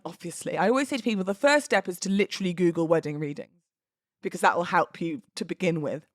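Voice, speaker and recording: A woman's voice: fairly low pitch, no room sound, clean studio sound. A British woman in her thirties chatting casually and expressively as a solo podcast host, in an informal, slangy way. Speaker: podcast host and wedding celebrant.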